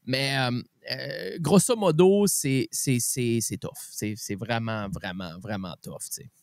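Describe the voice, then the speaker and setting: A man with a Québécois French accent hosting a casual chat, speaking in informal slang with halting, repeated starts. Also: mid-range voice, a little mellow, no echo, studio quality. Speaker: podcast host.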